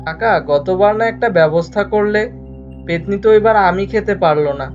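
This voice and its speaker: A man playing an eager, helpful male villager in an animated cartoon, talking in a reassuring way. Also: high-pitched, fairly quick, close to an everyday voice. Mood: confident. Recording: dull lo-fi cartoon soundtrack. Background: faint music.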